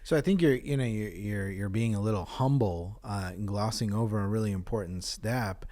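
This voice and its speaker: A male podcast host with a fairly low voice asks questions earnestly. He stops and restarts his words often as he talks. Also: a little mellow. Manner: earnest, halting with repeated restarts. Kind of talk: casual podcast interview.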